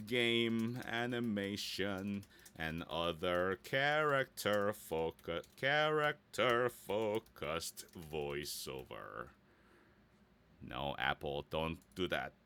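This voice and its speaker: A man's voice: sits low, fairly bright tone, clean tone. A man leading a casual screen-share tutorial in a playful, chatty way, tossing in silly interjections, recorded on a close studio microphone. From Korea or Korean heritage, based in Los Angeles. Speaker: voice actor leading a website tutorial. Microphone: close studio microphone in a dry home studio.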